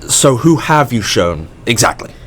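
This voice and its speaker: A man's voice: mid-range voice, very animated, measured pace, fairly bright tone, slight background noise. An actor in an audio drama playing a mysterious, encouraging male company boss who is polished, effusive and probing.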